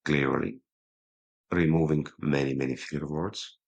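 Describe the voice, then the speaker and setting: A man with a low voice and a non-native English accent, talking as a podcast guest over a video call, quick but pausing to slow himself down. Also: steady tone, gravelly, a little mellow. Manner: quick talker who slows himself down, pausing instead of fillers. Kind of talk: casual interview talk.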